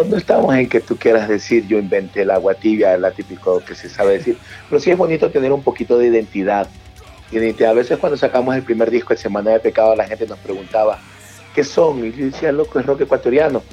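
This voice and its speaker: A man's voice: mid-range voice, fairly quick, mellow. A man with a coastal Ecuadorian accent chatting informally as an interview guest over the phone, often repeating his phrases. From Manabí, coastal Ecuador. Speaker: guest, rock band singer and leader. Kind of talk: casual interview chat. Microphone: telephone call. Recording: dull phone line, music behind. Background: music.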